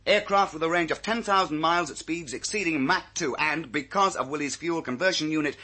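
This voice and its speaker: A man's voice: on the high side, a little fast, sharp tone. A man acting a brash, blunt British security agent in an old-time radio thriller, with clipped, sardonic, slangy speech. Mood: pressing, forceful.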